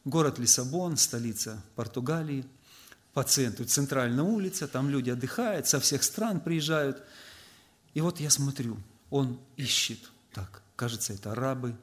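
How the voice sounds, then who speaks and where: A man's voice: mid-range voice, moderate pace. A man preaching a sermon to his congregation, telling stories in a loose conversational way full of filler words. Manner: conversational, anecdotal, frequent filler "vot".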